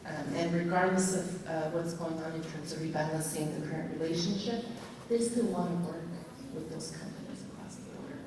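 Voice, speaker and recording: A woman from Montreal whose first language is French, speaking English with a Quebec French accent, answering on a consulate panel in a measured way, with frequent ums and restarts. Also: low voice, steady tone. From Montreal, Canada. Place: large conference hall. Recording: echoey hall from far off, noisy.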